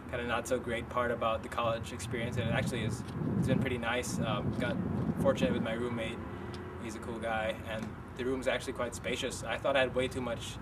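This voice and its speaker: A young man from the Philippines, a student golfer, answering interview questions in a soft, hesitant way with many pauses. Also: mid-range voice, little pitch movement. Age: young adult. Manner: soft-spoken, hesitant with frequent ums. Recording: distant mic, street noise.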